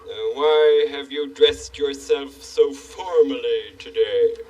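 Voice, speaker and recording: A man voicing a pompous, formal male king puppet who speaks slowly and fussily. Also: on the high side, darkish tone, recorded from a distance, soft background music, poor audio. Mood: stately. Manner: slow, stately, fussy.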